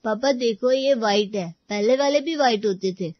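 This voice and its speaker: A woman voicing a cheeky, eager little boy in an animated family story. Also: fairly high pitch, moderate pace, no echo, dull audio, close to an everyday voice.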